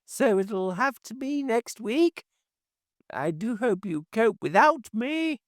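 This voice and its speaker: Male reader voicing a reedy, high, fussy elderly woman in a storybook; thin and prim. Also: animated, slow, no echo, studio quality, full character voice.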